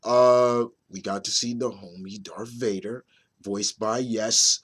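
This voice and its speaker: A man in his thirties with a slightly raspy, under-the-weather voice, giving a casual, halting movie review to viewers and repeating phrases for emphasis. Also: on the low side, steady tone, a bit bright. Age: 30s. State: mildly under the weather with a nagging cough.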